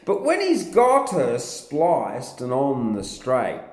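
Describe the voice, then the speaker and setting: An Australian man giving a theatrical, drawn-out recitation of comic vernacular verse in character as a larrikin. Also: medium pitch, wildly swooping pitch, darkish tone. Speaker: poetry reader. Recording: roomy, echoing sound.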